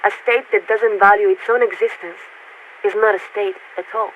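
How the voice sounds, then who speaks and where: An actress playing a formal, detached warship officer who speaks for a committee in clipped, measured phrases through a radio filter in a video game. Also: female voice, medium pitch, fairly even intonation, fairly bright tone. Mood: measured, lecturing. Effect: radio transmission filter. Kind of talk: scripted video game voice acting. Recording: tinny, narrow-band radio with static.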